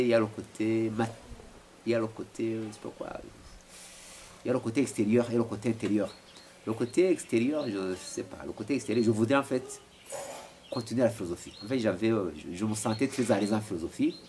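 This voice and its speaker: A man's voice: lowish voice, darkish tone. A man in his forties from Rwanda, answering interview questions at home with many hesitations and repeated words. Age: 40s. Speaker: interviewee, philosopher. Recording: noisy home recording.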